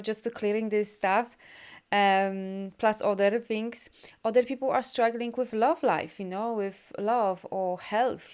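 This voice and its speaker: Upbeat Polish-born woman, smooth voice. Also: mid-range voice, a little mellow. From Poland. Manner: loose rambling with many fillers and restarts; upbeat and encouraging. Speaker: energy healer and coach. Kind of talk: live video session reading viewer comments.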